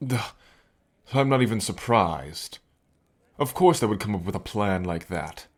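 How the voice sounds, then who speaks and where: A man plays an amused onlooker god in a fantasy audio drama. His voice is moderately low, and he comments with sardonic, level-headed ease. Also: lively intonation, unhurried. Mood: dry, sardonic. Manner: dry, sardonic. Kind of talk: audio roleplay acting.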